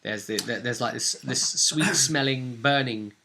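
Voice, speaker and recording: Bloke game master recapping a roleplay session, bright voice, rambly n chatty, keeps asking for agreement. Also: male voice, medium pitch, unhurried, a little hiss or hum. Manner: chatty and rambling, seeks agreement with tag questions. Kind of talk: casual recap of a tabletop role-play session.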